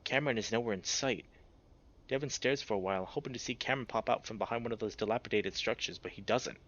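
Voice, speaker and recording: A man's voice: on the low side, quick pace, a bit bright, dull audio. A man narrating a visual novel in a dramatic, immersed storytelling way, as a player reading aloud.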